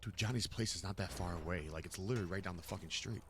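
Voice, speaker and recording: A man's voice: low-pitched, fast talker, noisy background. A man who streams games, talking casually and swearing as he mutters along in roleplay. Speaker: game streamer.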